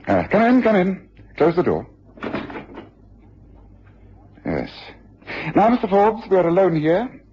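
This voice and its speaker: Animated, commanding detective. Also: male voice, sits high, slight background noise. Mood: firm, coaxing. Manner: deliberate, commanding, precise. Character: keen, composed male English detective, probing and authoritative. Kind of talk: radio drama acting. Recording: dull radio drama broadcast recording.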